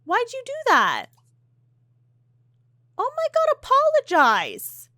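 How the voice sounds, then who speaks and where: A woman casually commenting on her gameplay, chatty and animated, with frequent ums and laughs, her voice slightly hoarse. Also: extremely high pitch, slow, no echo, clean studio sound. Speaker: gaming YouTuber.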